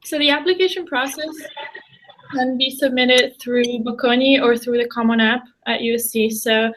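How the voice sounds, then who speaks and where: A woman's voice: a little high, fairly even intonation, normal speed, fairly bright tone, a little hiss or hum, rough recording. A young woman from Italy, a senior student, explaining an application process in an orderly way. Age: young adult. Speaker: student panelist. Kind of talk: informative explanation.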